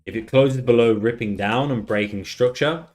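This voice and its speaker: A man's voice: mid-range voice, normal speed, darkish tone, soft background music. A man on a casual live stream, chatty, reading out chat messages and losing his voice. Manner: chatty, reads out chat messages, many restarts. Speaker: livestream trader.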